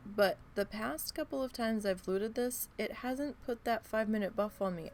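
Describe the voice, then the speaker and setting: A woman's voice: mid-range voice, a little hiss or hum. A woman streaming a game and chatting casually to viewers, her voice stuffy and nasal from allergies, rambling with many ums. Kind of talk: casual live-stream chat.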